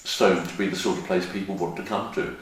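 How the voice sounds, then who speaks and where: An older man hosting a podcast, relaxed and rambling as he chats with a guest. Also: low-pitched, brisk, heavy reverb, distant mic. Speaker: podcast host. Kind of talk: casual interview chat.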